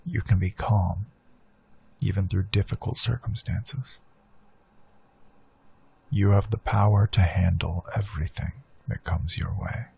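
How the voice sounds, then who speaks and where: A man leading a guided hypnosis meditation, speaking slow soothing affirmations that drift into soft whispers. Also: deep voice, fairly even intonation, vocal fry, slightly rough.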